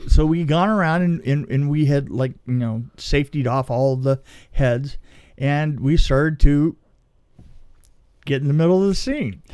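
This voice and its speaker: A man telling a story casually on a podcast, in a halting, rambling way that often restarts mid-sentence. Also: on the high side, a little mellow, no room sound, studio quality. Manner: halting, rambling, self-interrupting delivery. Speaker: film special effects technician telling a story.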